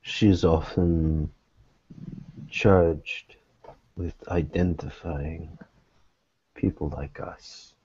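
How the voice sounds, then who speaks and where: A man acting as game master in a tabletop roleplay, narrating and speaking in character in a measured, deliberate way with pauses. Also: a bass voice, steady tone, a little mellow, breathy edge, dry recording, muffled recording.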